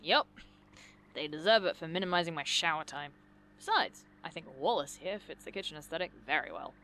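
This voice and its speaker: A woman playing a playful, impulsive young adventurer in an audio drama, excitable, blunt and slangy. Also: deep voice, brisk, a little hiss or hum. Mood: teasing, casual.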